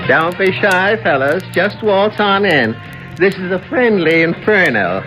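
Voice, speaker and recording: An actor playing a brassy, sardonic American nightclub owner with a mocking, slangy swagger in a 1970s radio drama. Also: male voice, high-pitched, animated, unhurried, sharp tone, soft background music, exaggerated voice, poor audio. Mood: mocking welcome.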